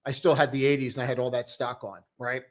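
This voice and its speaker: A young man casually hosting a live stock-trading stream, chatting loosely and joking with viewers in a relaxed, tired way. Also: medium pitch, quick pace. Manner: loose, joking, full of restarts and "dude". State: tired, low on coffee.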